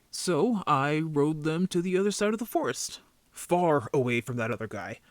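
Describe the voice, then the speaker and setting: A man playing an injured young werewolf, a defensive, easily embarrassed brother who talks casually and haltingly in an audio drama roleplay. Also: mid-range voice, dry recording. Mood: sheepish.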